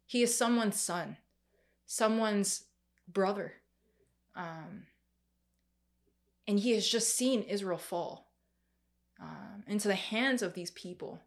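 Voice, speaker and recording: A young woman gives a sermon in a loose, conversational style. She often breaks off, restarts and fills pauses as she works through her thoughts. Her pitch sits in an ordinary middle range. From Korean family background. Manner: conversational, many fillers and restarts. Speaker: preacher.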